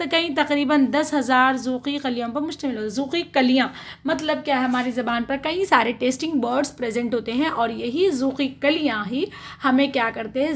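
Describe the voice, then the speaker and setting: A woman teacher explaining a biology lesson, often asking a question and then answering it herself, with a steady "okay" between points. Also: sits high. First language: Urdu. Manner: asks questions then answers them, frequent "theek hai".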